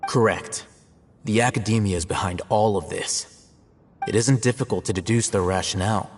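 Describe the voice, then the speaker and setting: An actor playing a composed, analytical male scholar and scribe in a game, explaining his deductions in a calm, measured, lecturing way. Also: fairly low pitch, slight background noise, natural voice. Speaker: academy scribe. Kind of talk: game cutscene voice acting. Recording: reverberant with game music.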